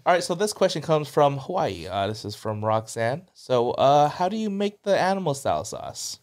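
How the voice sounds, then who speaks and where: Man, podcast host, playful, lively rising and falling pitch, slightly high voice. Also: moderate pace. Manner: playful, laughs easily. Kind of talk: casual chat, reading listener questions.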